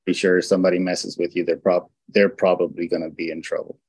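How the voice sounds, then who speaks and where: A low-voiced man answers interview questions on a hunting show. He hesitates often, restarting his sentences and stumbling as he works his way through each answer. The recording is dry and close. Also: little pitch movement, fast talker, darkish tone, breathy edge, no room sound. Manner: hesitant, many restarts and fillers.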